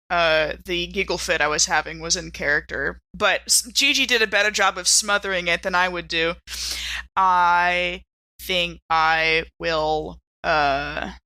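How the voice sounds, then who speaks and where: A woman's voice: lowish voice, bright, forceful tone, dry recording. A woman chatting casually and jokingly as a player in a tabletop role-playing game.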